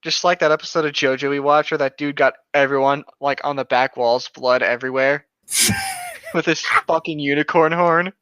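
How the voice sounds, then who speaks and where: A man's voice: fairly high pitch, huge pitch swings, normal speed, fairly bright tone, clear, resonant tone, dry recording. A man co-hosting a podcast, joking around and reading aloud casually, with some swearing, on a slightly dull lo-fi microphone. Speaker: podcast co-host. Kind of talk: casual banter and creepypasta reading.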